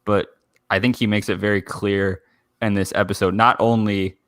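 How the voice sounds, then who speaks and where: A man's voice: lowish voice, flat delivery, moderate pace, a bit bright, no echo. A man co-hosting a casual fan discussion podcast, tentatively searching for his words as he talks. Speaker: podcast co-host.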